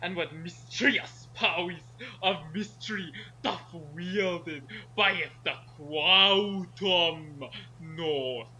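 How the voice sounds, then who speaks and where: A man's voice: sits high, drawn-out words, pushed and bright, slight background noise, rough recording. A man giving jokey, geeky asides and mock readings as a co-reader in a casual group reading. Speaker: co-reader and commentator. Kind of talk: casual joking commentary and mock read-aloud.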